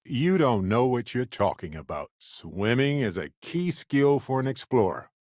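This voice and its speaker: Wry, animated male space explorer. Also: medium pitch, measured pace, no room sound, clean studio sound. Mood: upbeat, playful. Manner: conversational, playful, expressive. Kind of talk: video game companion voice lines.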